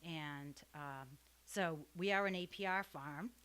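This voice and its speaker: A woman farm owner speaking informally at a public meeting in a relaxed, conversational way. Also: low-pitched, slow pace, clean tone.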